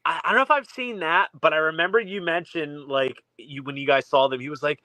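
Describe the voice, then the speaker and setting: A man co-hosting a livestream in casual group chat, animated and laughing as he tells stories. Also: a little high, a little fast, no echo, low-quality recording. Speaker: co-host of a livestream.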